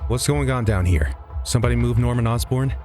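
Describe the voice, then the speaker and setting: A man's voice: medium pitch, brisk, a little mellow, soft background music, natural voice. A man playing a cynical prison guard in a comic dub, blunt and colloquial. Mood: puzzled, urgent.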